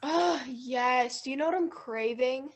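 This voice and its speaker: A woman's voice: sits high, unhurried, slight background noise. A young woman playing a ditzy, gossipy fairytale girl in a comic stage play. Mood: craving. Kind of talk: comic stage acting over video call.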